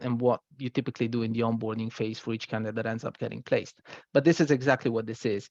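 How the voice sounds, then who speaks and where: A man presents software at a very brisk pace in a mid-range voice. He explains things conversationally, with little check-in tags. The sound is dry and a touch dull. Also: mid-range voice, no room sound. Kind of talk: product presentation.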